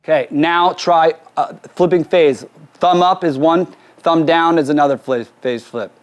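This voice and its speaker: A man's voice: fairly high pitch, fairly even intonation, unhurried. Informal man directing a studio session, noisy recording. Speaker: music producer giving a mixing demonstration. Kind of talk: casual hands-on tutorial, giving instructions to crew. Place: recording studio with drum kit and PA.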